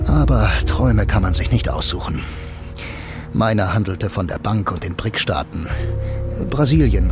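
Deep-voiced brooding blind male narrator played by an actor. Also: close to an everyday voice. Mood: dreamy, wry. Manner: musing, intimate, addresses the listener directly. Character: blind male bank ad worker, wry, brooding noir narrator. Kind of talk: first-person radio drama narration. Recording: loud music bed under the voice.

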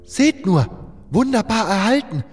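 A man acts out a young scholarly mage for a fantasy audio drama, pitched well up. He is excitable and easily awestruck, tripping over his words, with faint music behind. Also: a little slow, breathy edge, in a large hall, heavily acted. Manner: breathless wonder, stammering. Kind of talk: fantasy audiobook character acting.

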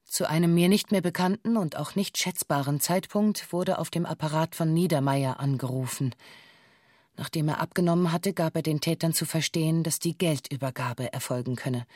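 A woman's voice: low-pitched, normal speed, a little mellow, slightly rough, no echo, studio quality. An actress playing a female bank hostage who gives a formal, matter-of-fact witness statement in a documentary radio drama. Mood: sober recounting.

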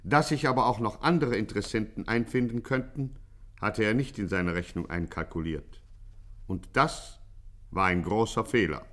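A man playing the deadpan narrator of a 1950s German radio crime satire, measured and ironically suspenseful. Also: on the low side, close to an everyday voice. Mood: dry, ironic. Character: deadpan, mock-dramatic crime story narrator.

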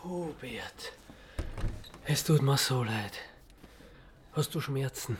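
Sheepish, contrite man, medium voice, halting, with slight room noise. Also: medium pitch, expressive. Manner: apologetic, hesitant. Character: sheepish, apologetic man at a hospital bedside. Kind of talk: radio play acting.

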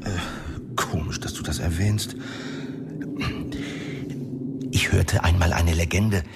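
An actor playing a dithering wizard who explains things dryly and a little flustered in a German fantasy radio drama. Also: male voice, deep voice, fairly even intonation, a little slow, gravelly, very noisy. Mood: hesitant, storytelling. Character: fussy, dithering wizard with a dry, bookish manner.

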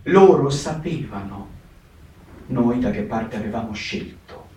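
A man's voice: medium pitch, lively intonation, unhurried, warm tone, a little hiss or hum, close to an everyday voice. An actor in a theatre reading on the radio, playing a dead young partisan who searches grimly for why he died, correcting himself as he goes. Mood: brooding. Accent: Italian. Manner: searching, self-correcting, grave. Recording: roomy echo, distant mic.

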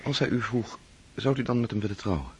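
An actor playing a thoughtful, kindly family friend in a radio play, speaking gently and probingly. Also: male voice, medium pitch, expressive, fast talker, highs cut off, slight background noise, lightly acted.

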